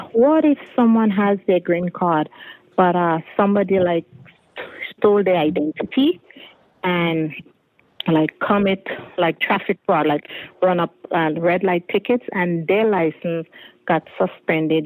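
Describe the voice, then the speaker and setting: A caller speaks haltingly, pausing often to find words. The sound is dry and close. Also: medium pitch, a little mellow, no room sound, poor audio. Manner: hesitant, halting. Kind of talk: phone-in question.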